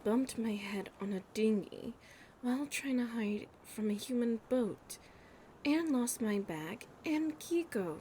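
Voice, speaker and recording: A woman voicing a young, anxious but stubborn mermaid in an ASMR audio roleplay, murmuring haltingly to herself. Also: fairly high pitch, warm tone. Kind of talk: ASMR audio roleplay, breathy dramatic acting. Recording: clear background ambient noise.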